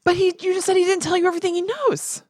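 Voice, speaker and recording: A woman's voice: extremely high pitch, very fast, a little mellow, no echo, studio quality. A woman co-hosting a casual podcast, reacting to her partner with wry, playful asides. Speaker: podcast co-host. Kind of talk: casual chat, reacting and bantering.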